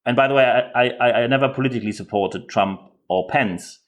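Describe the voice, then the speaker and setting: A man speaking English with a German accent delivers podcast commentary in an earnest, direct way. He often repeats words as he restarts a thought, and his pitch sits in an ordinary middle range. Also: a little fast. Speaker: podcast host.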